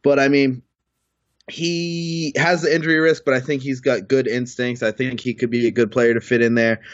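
A man's voice: mid-range voice, flat delivery, moderate pace, dry recording. Chill guy fumbling for names in a draft chat, mid voice, lo-fi sound. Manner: laid-back, hesitant, laughs easily. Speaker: podcast panelist. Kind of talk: casual sports draft chat. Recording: dull lo-fi recording.

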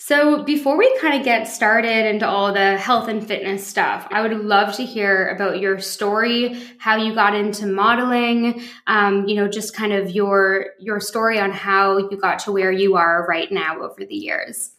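A woman's voice: medium pitch, fairly even intonation, measured pace, few pauses, fairly bright tone, echoey room. A woman hosting a podcast, interviewing a guest in an upbeat, encouraging way. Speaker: podcast host.

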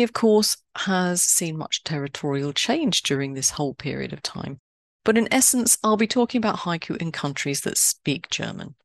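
A woman hosting a podcast, reading a scripted, explanatory talk in a measured way and lifting her pitch on key words. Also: creaky, no room sound, studio quality. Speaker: podcast host.

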